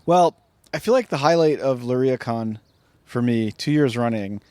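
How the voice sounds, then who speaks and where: A man co-hosting a podcast in casual chat, slightly hoarse from a lingering cough, with a dry, teasing sense of humor. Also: mid-range voice, expressive, normal speed, warm tone. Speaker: podcast co-host.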